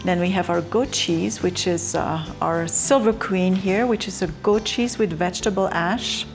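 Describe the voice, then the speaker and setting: Belgian-accented woman, halting and low. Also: over music. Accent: Belgian French. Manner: halting, many fillers and restarts. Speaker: cheesemaker. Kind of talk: casual explanation during a tour.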